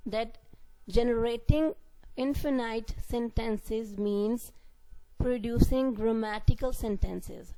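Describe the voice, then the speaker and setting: Woman, university lecturer, slightly high warm voice, patient and repetitive. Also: rough recording. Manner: explanatory, repeats and rephrases points, reads out example sentences. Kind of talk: classroom lecture.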